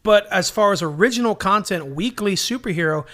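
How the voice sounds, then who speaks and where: A man's voice: high-pitched, fairly quick, pushed and bright. A middle-aged man co-hosting a podcast in casual, bantering chat. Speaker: podcast co-host.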